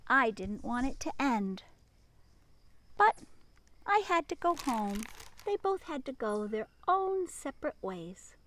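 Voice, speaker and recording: A woman teacher reading a picture book aloud and chatting to young children in an expressive, sing-song manner, with hushed asides. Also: high-pitched, slow pace, long pauses, slight background noise, rough recording.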